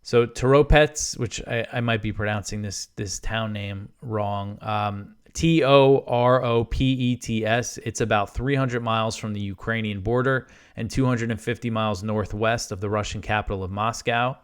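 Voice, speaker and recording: A man hosting a news podcast, reading reports in an informal way with halting off-the-cuff asides. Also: lowish voice, steady tone, clear, resonant tone.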